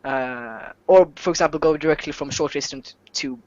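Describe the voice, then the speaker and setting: Game master, Swedish native speaker, mid-register voice. Halting, jokey rules explainer; dry, slightly muffled sound. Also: medium pitch, darkish tone, dry recording. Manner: halting, self-deprecating, jokey.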